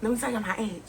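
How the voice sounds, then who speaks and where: A woman's voice: a little high, slight background noise. Chatty laughing woman in her thirties. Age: 30s. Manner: chatty, laughs often, slangy and candid. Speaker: vlogger. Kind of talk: casual sit-down Q&A vlog. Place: indoors at home, sit-down setting.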